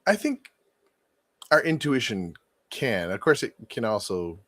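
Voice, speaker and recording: A man's voice: medium pitch, very animated, unhurried, a bit bright, no room sound. A man in his forties co-hosting a casual conversation, rambling and thinking aloud with frequent restarts. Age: 40s. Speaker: podcast co-host.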